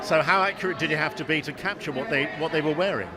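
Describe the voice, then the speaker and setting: A man's voice: a little high, fast talker, crowd noise. A man working as a radio reporter, presenting and interviewing on location outdoors at a film premiere. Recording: noisy outdoor street, urban bustle.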